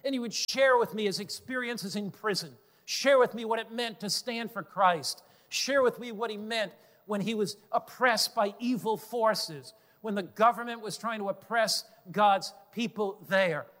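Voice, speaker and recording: A man's voice: sits high, unhurried, few pauses, fairly bright tone. A man preaching a sermon in an earnest, emphatic way, building on repeated phrases. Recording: long hall reverb.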